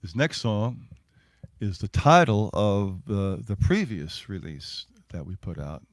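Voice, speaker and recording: A man's voice: fairly low pitch, expressive, slow, no room sound. A man who is a singer-songwriter talks casually between songs at a livestreamed gig, rambling and self-effacing.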